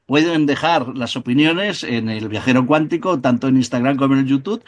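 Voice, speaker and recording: A man's voice: mid-range voice, fairly quick. A man with a Spain Spanish accent hosting a podcast, friendly and chuckling as he thanks guests and listeners. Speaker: host. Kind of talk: casual podcast chat and sign-off.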